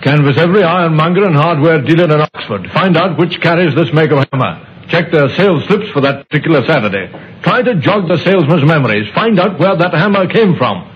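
An actor playing a brisk, shrewd British police inspector in a radio drama, clipped and authoritative, on an old recording. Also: male voice, on the high side, lively intonation, muffled recording, natural voice. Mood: commanding. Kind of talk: 1950s radio drama acting.

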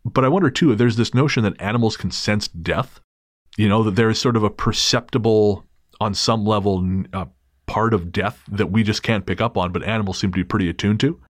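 A man's voice: lowish voice, brisk, no room sound. A man hosting a podcast, chatting casually and with dry humor, sometimes reading a listener's story aloud. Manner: wry, joking, hesitant restarts. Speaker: podcast host.

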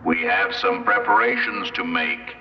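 An actor playing a menacing, commanding alien presence in a 1960s radio drama, speaking slowly and deliberately. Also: male voice, deep voice. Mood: cold, commanding. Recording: muffled, very noisy, echoing old radio recording.

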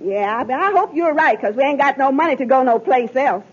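Bossy fed-up wife on an old radio show, high pitched, folksy, muffled sound. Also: female voice, fairly quick, slightly rough. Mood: grudging, warning. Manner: scolding, folksy ungrammatical dialect. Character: stern, nagging, exasperated wife. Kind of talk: 1940s-50s radio comedy acting. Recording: dull old radio broadcast.

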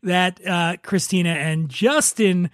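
A man's voice: sits high, slow pace, a bit bright, no room sound, clean studio sound. A man hosting a podcast, chatting casually and explaining things with enthusiasm and the odd swear word. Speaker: podcast host. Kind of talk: casual chat about wine.

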